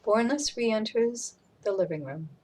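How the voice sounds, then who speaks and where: A woman's voice: fairly high pitch, expressive, unhurried, a little mellow. A woman narrating scripted stage directions for a radio drama.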